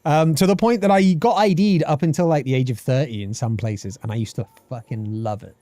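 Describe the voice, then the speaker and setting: A man's voice: mid-range voice, fairly quick, no room sound. A British man in his thirties chatting casually on a game live stream, swearing freely and reading chat aloud. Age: 30s. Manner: animated, swearing, reads chat aloud and laughs often.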